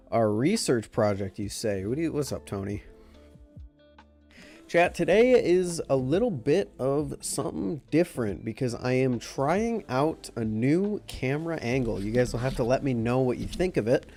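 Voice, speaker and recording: A young man with a stuffy, nasal voice from a cold, chatting casually with live viewers as a stream host. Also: medium pitch. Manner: relaxed, greeting chat by name. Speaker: livestream host.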